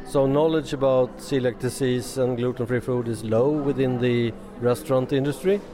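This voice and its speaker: A man with a medium-pitched voice puts questions to a guest as an interviewer. Music is clearly audible behind him. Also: fairly even intonation, normal speed.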